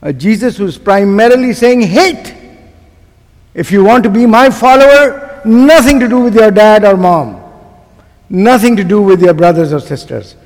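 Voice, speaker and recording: A man with a Pakistani accent preaching a sermon to a congregation, using emphatic, deliberate phrasing and putting rhetorical questions to his listeners. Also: high voice, darkish tone. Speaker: guest preacher. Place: large church sanctuary. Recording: long hall reverb of a church sanctuary.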